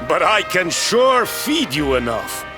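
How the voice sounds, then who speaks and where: An actor playing a hearty, boisterous island villager in a video game, speaking in an expansive, proclaiming way. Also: male voice, high-pitched, very animated, slow, heavily acted. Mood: jovial, grateful. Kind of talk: video game character acting. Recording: music behind the voice.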